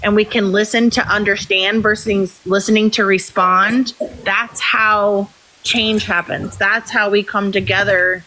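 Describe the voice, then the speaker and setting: A woman joining a livestream as a guest, speaking in a calm, reflective way about how people should talk to each other. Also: medium pitch, unhurried, poor audio. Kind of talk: earnest livestream discussion.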